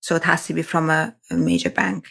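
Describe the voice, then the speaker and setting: A woman from Germany with a German accent, a guest telling her story in a casual interview, speaking haltingly and often restarting her phrases. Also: sits low, flat delivery, vocal fry, a bit bright, slightly rough. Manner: halting, restarts phrases, frequent agreeing yeahs. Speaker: guest, real estate investor.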